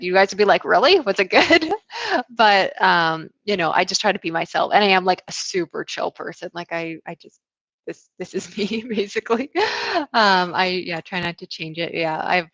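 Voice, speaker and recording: A woman's voice: medium pitch, creaky, no echo. Chatty Canadian woman, dull dry sound. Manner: chatty, relaxed, many fillers and soft laughs. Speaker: booktube host. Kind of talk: casual livestream Q&A.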